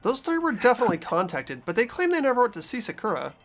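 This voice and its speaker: Lively young man narrating a game. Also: very high voice, quick pace, faint music behind. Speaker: narrator and player.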